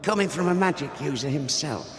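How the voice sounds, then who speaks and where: A man's voice: fairly high pitch, expressive, a little fast, big-room sound, noisy background, lightly acted. An actor playing a defiant, suspicious townsman in a video game, speaking with pointed menace. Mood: accusing, threatening. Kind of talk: dramatic video game acting.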